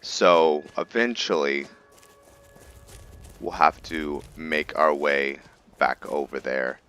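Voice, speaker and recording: Low-voiced man, halting casual game commentary. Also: pushed and bright, clear, resonant tone. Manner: halting, thinking aloud. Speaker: video game streamer, let's-play host. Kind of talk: casual roleplay gameplay commentary.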